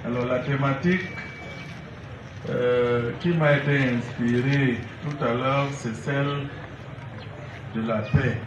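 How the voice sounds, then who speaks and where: A man giving a formal public address as a civic education speaker, with deliberate, hesitant phrasing. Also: mid-range voice, steady tone. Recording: echoey, noisy, muffled, far from mic.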